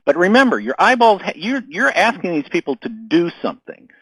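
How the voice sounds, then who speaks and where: A man's voice: sits high, sing-song, a little fast, a bit bright, husky, dry recording, low-quality recording. A man giving a casual online marketing webinar, answering questions, losing his voice and coughing now and then, with lots of fillers and repeated words. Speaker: webinar presenter.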